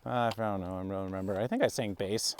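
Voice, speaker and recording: A comedian co-hosting a podcast, chatting with wry jokes while walking an outdoor mountain trail. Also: male voice, on the low side, fairly even intonation, fast talker, a little hiss or hum. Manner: wry, joking. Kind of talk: casual chat on a hike.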